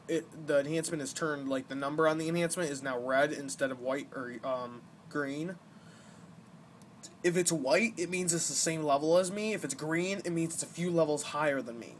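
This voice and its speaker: A young man giving casual gameplay commentary, explaining things in a halting, rambling way as the host of a gaming video. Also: a little high. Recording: noisy amateur home recording.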